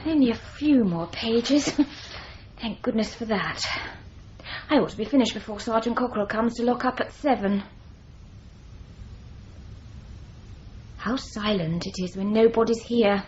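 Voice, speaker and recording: Young typist alone at night, female actor. Highish, jittery voice, radio play, roomy muffled sound. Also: moderate pace, darkish tone, slight background noise, close to an everyday voice. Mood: relieved. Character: nervous young female office typist working late alone. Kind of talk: radio drama monologue.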